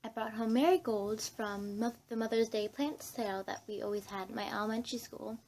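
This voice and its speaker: Giggly young woman, higher-pitched creaky voice. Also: fairly even intonation, fairly quick, a little mellow, a little hiss or hum. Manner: giggly, scattered, self-interrupting. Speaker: vlogger. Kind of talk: casual rambling chat to camera.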